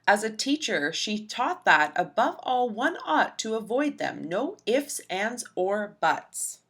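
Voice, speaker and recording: A woman narrating a rhyming children's storybook aloud in an expressive, rhythmic, dramatic way. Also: fairly high pitch, takes it slowly, husky. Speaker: storybook reader.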